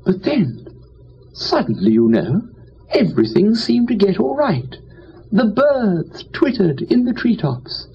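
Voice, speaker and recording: A man playing a foppish, cheerful, dim young English gentleman, telling a comic story in chatty old-fashioned upper-class slang. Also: high-pitched, big pitch swings, takes it slowly, gravelly, breathy edge, voice pushed up, heavily acted. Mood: relieved. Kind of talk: dramatised first-person comic storytelling. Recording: dull lo-fi with faint noise.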